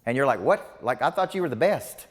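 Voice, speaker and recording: A man's voice: medium pitch, animated, brisk. Emphatic man coaching in a reverberant hall. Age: 40s. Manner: emphatic, punchy repetition. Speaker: business coach. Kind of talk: motivational seminar talk. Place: large seminar room before a live audience.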